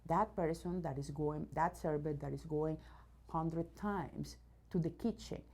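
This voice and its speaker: Woman, from Venezuela, English speaker, low voice, hesitant restarts, enthusiastic, CEO interviewee. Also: measured pace, darkish tone. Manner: many fillers and restarts, searching for words; enthusiastic about her subject. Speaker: robotics company CEO, guest. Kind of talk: news interview.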